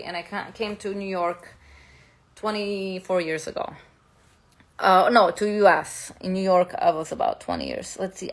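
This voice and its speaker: A woman from Serbia, a spiritual teacher and content creator, talking loosely in a live stream with many fillers and restarts. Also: mid-range voice. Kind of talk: casual live-stream talk. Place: home in New York City.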